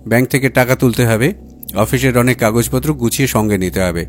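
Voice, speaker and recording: A man's voice: medium pitch, fairly quick, slight background noise, lightly acted. A man voicing a stern, scheming businessman husband in an audiobook, with grave, emphatic, clipped phrases. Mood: probing. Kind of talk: acted audiobook dialogue.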